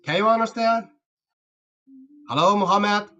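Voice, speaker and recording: A man's voice: high voice, measured pace, pushed and bright, dull audio, faint music behind. A man from China whose first language is Uyghur, chatting with strangers online in a friendly, teasing way and asking lots of questions. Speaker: participant in an online English practice chat. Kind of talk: casual chat.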